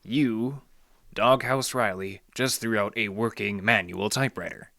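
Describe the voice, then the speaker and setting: Low deadpan hard-boiled detective, acted by a man. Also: a little slow, no echo, studio quality. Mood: probing. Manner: deadpan noir wisecracking. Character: wry, hard-boiled male library detective. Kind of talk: 1940s radio detective acting, narration and dialogue.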